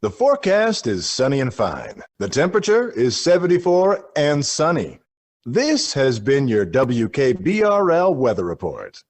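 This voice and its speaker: A man's voice: mid-range voice, wildly swooping pitch, a little slow. Chipper, formal radio newsman. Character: chipper, formal male news and weather reader. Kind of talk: stylised radio news report.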